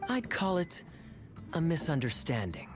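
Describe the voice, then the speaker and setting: A man voicing a smooth, teasing male knight captain in a video game dub. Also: on the high side, sing-song, measured pace, over music. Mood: wry.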